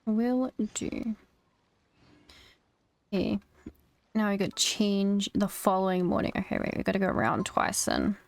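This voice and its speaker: A woman's voice: medium pitch, measured pace, vocal fry, long pauses. A woman streamer giving casual, informal, reactive commentary on a game she is playing, close on the microphone.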